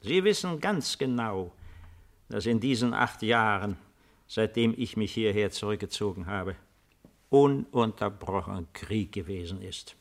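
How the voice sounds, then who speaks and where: An actor in a 1950s German radio play playing an old, weary, retired Roman emperor with a deliberate, ironic, theatrical delivery. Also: male voice, on the low side, expressive. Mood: bitter, reflective. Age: older.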